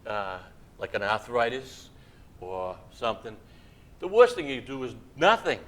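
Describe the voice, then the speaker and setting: A man's voice: medium pitch, very animated, a little slow, a bit bright. Chatty man in his sixties hosting. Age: 60s. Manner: chatty, rambling, encouraging. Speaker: show host. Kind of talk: casual interview chat.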